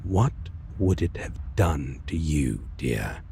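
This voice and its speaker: A brooding, tender vampire played by a man in a romantic audio drama, over clearly audible background noise. The voice is extremely slow and full of gravelly fry, with halting, breathy pauses and soft, weary endearments. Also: very deep voice, lively intonation, exaggerated voice. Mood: regretful, tender. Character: brooding centuries-weary male vampire, tender former vampire hunter.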